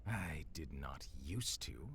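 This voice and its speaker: A man's voice: extremely low pitch, animated, slow, a bit bright, a little hiss or hum, full character voice. A man playing an ancient, menacing demon and frost giant tyrant in an audio drama, speaking formally and slipping into whispers. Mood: stern, controlled. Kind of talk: dramatic audio roleplay acting.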